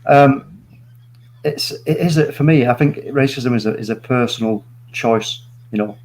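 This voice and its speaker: A man's voice: mid-range voice, a little slow, a little mellow, rough recording. A man in his late forties, a guest on a live stream, giving his views earnestly and rambling through many restarts. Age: 40s. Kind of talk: opinionated live chat.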